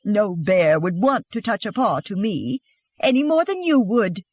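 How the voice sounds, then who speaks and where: A narrator voicing a fiery, sharp-tongued young wife in audiobook dialogue, wounded and defiant, spitting out taunts. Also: mid-range voice, lively intonation, measured pace, husky, no echo, highs cut off. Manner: spitting, taunting, shouting back.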